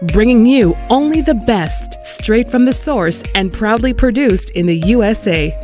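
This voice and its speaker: A woman reading a radio advertisement as an upbeat sales pitch. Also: expressive, measured pace, dark tone. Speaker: ad announcer. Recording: ad with faint music bed.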